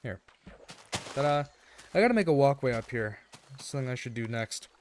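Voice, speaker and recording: A man with a slightly raspy, rough throat giving casual, rambling gameplay commentary in an earnest way, often checking in as he goes. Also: medium pitch, lively intonation, normal speed. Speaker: gaming video host.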